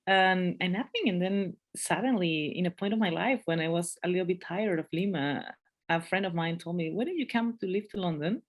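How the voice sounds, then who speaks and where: A woman in her thirties from Peru, speaking English with a thick Peruvian Spanish accent in a relaxed interview chat, laughing often and restarting her sentences. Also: fairly low pitch, brisk. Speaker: podcast guest.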